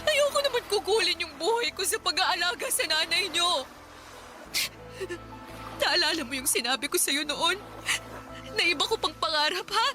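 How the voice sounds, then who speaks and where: A very high-pitched Filipino woman, worn out and bitter toward her partner. She speaks with confrontational, wounded emotion in radio melodrama style, over clearly audible music. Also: expressive, normal speed, long pauses. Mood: sarcastic, weary. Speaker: radio drama actress. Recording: dramatic background music.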